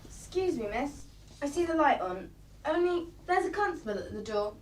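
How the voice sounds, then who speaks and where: Distant, high-voiced actress as an housemaid. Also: female voice, big pitch swings, normal speed. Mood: meek, hushed. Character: timid, dutiful housemaid roused at night. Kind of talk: radio play acting. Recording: distant from microphone, hissy background noise.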